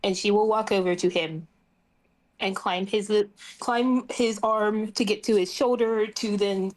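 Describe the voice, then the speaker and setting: A woman's voice: medium pitch, fairly even intonation, unhurried, darkish tone, rough recording. A woman casually improvising narration as a player in a tabletop roleplaying game.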